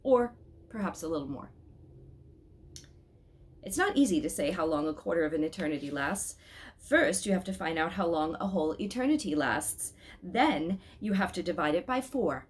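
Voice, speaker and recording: A woman voicing a male wise king who reasons in a precise, pedantic way. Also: lowish voice.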